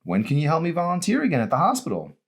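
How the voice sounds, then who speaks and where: A man voicing a spirited, sharp-tongued teenage girl in an audiobook, teasing and forthright. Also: a little high, wildly swooping pitch, rapid-fire, breathy edge, pitched above the performer's own voice, full character voice. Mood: pointed.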